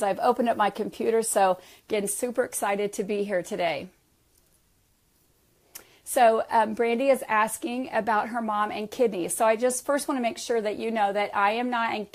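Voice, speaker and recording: A hoarse, raspy middle-aged woman, a wellness practitioner from the United States, hosting a live question-and-answer stream in an upbeat, enthusiastic way on a headset mic. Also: on the high side. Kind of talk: live social media talk.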